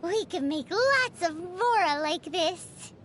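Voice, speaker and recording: Tiny childlike game fairy: extremely high, squeaky, swooping delivery, chirpy and cheeky, music behind. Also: female voice, takes it slowly, a little hiss or hum, exaggerated voice. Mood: chatty, eager. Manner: speaks of self in third person, animated. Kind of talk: animated video game voice acting.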